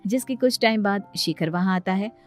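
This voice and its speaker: A woman's voice: medium pitch, normal speed. A woman narrating a scripted dramatic story. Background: background music.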